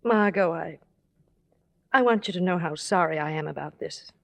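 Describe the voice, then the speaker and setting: A woman acting a genteel, anxious friend in a vintage radio drama, speaking in a polite, measured storytelling way. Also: fairly low pitch, vocal fry, fairly bright tone. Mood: uneasy. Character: genteel, anxious woman friend narrating her guilty scheme.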